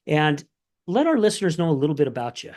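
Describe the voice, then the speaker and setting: A man's voice: fairly high pitch, sing-song, quick pace, darkish tone. Earnest man hosting a podcast. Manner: friendly, earnest, conversational. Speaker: podcast host. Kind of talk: podcast hosting and sponsor read.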